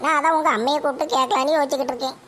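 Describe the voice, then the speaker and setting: A nosy old grandmother with a lilt, fussing and scolding in a fairly high, light voice, as a woman puts her on. She grumbles at everyone in a homemade comedy sketch. A faint hiss sits behind her. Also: fairly even intonation, very fast, fairly bright tone. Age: older.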